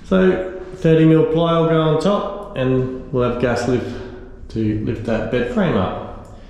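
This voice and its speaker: A man's voice: medium pitch, takes it slowly, dull audio. Easygoing man with lots of ums. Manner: relaxed and chatty, lots of ums and uhs. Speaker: boat restorer and channel host. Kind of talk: casual vlog narration. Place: inside a steel boat hull, then an outdoor patio.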